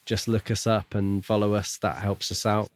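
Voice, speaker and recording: Guy with a lowish voice chatting books on a podcast, kinda hedges, really dry sound. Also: level tone, moderate pace, dry recording. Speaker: podcast co-host. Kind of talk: casual book discussion.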